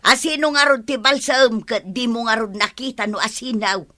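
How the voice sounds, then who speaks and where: A woman playing a bright, inquisitive village neighbor. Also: on the high side, moderate pace. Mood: pressing. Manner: inquisitive, questioning. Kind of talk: radio comedy drama acting.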